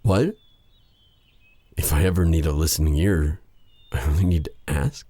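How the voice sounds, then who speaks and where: A man playing a brooding, remorseful dark sorcerer in an intimate fantasy ASMR roleplay, speaking formally and haltingly to the listener in a husky, breathy voice. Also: a bass voice, no echo. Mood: tender, hesitant. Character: brooding, remorseful dark sorcerer, bitter yet tender captor.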